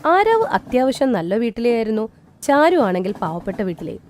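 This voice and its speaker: Lively woman storyteller. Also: a little high, moderate pace. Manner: lively, expressive. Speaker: narrator. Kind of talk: storybook narration for a cartoon.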